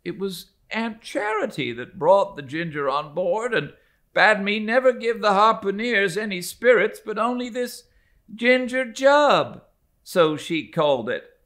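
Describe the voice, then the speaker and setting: A man putting on a timid steward, high whiny voice, bright and thin. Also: sing-song, measured pace, exaggerated voice. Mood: whiny protest. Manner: plaintive, defensive, high-pitched.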